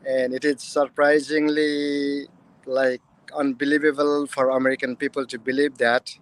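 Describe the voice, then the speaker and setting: A man from Nepal with a Nepali accent, giving interview answers slowly in plain, broken English. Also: medium pitch, level tone. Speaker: guest.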